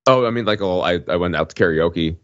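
A man's voice: low voice, fast talker, fairly bright tone, dull audio. A man chatting casually as a podcast co-host. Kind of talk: casual chat.

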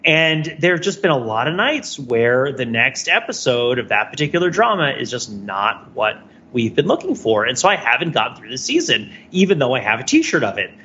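Bright-voiced man chatting, slightly dull audio. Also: medium pitch, very animated, moderate pace. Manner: rambling, self-deprecating, wry. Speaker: podcast co-host. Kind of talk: casual conversational podcast chat.

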